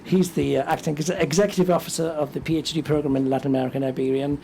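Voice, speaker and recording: A man from England who directs a cultural institute, opening an event by welcoming guests and introducing a panel in a chatty, self-joking way, hoarse as he is losing his voice. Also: a little high, steady tone, rapid-fire, dark tone, slight background noise. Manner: chatty and self-joking, with many fillers and restarts.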